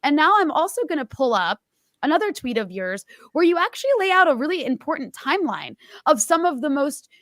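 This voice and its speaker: A woman's voice: sits high, big pitch swings, brisk, a bit bright, no room sound, clean studio sound. A woman in her thirties hosting an interview, talking in an emphatic, impassioned way. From United States. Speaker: host.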